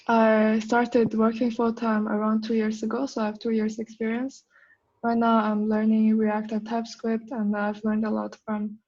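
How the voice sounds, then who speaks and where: Flat-voiced woman introducing herself on a call. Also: on the high side. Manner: polite, measured, with pauses. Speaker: full stack developer. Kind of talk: self-introduction on video call. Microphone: video call. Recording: muffled lo-fi video call audio.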